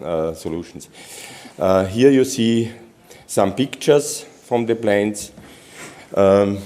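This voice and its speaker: A man from Austria, a native German speaker, giving a technical conference presentation in halting English with many restarts. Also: fairly low pitch, a little mellow. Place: conference hall.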